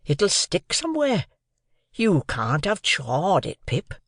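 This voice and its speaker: A man reading aloud and voicing a kindly, simple working man who talks in a slow, earnest, homely way. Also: sits high, wildly swooping pitch, fairly bright tone, no echo, studio quality, pitched above the performer's own voice, heavily acted.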